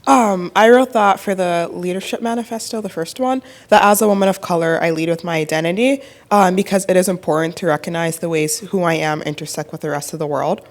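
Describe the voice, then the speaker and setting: A woman's voice: fairly low pitch, a little fast, few pauses. A woman in the audience at a live session, sharing a personal statement. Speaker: audience participant. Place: in-person conference session room.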